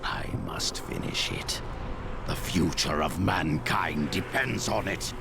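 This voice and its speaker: An actor playing an arrogant, power-hungry resurrected sorcerer in a video game, grandiose and theatrical. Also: male voice, slow pace, exaggerated voice. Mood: brooding. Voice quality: deep gravelly. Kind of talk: dramatic video game acting. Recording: loud music underneath. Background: loud music.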